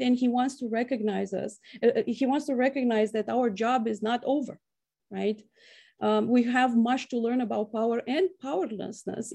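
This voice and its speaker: A woman's voice: on the high side, creaky, mellow. A woman giving an academic conference talk, ending nearly every phrase with a questioning "right?". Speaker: academic speaker.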